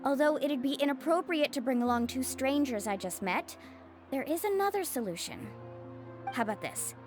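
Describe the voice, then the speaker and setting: An actress playing an upbeat, headstrong young tour guide in a video game, breezy and assured. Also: female voice, high-pitched, expressive, normal speed, over music. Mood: encouraging.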